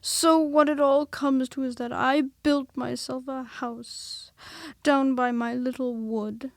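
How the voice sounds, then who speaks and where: A woman voicing a gloomy, melancholy old male donkey in a storybook reading, with a slow, drawn-out, mournful delivery. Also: sits high, level tone, no echo, clean studio sound, voice pushed up.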